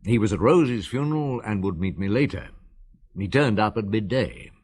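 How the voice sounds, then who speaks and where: An actor playing a middle-aged, shrewd police inspector who also narrates the radio drama, asking calm, measured questions. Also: male voice, lowish voice, slightly rough. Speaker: narrator and detective.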